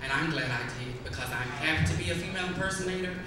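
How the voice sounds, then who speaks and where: A man's voice: fairly high pitch, rapid-fire. A male female impersonator answering a pageant question on stage with an emphatic, declaiming delivery. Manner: emphatic, rising to a declaiming finish. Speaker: pageant contestant. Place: large stage in a hall. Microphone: handheld mic through hall speakers. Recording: echoey hall taped from far off, noisy.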